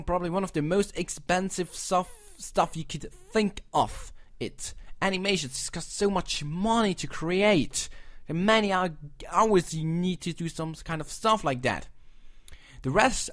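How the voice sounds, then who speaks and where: Young German-accented man, chatty reviewer, a touch high-pitched, self-correcting, opinionated. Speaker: video reviewer. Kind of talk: casual commentary.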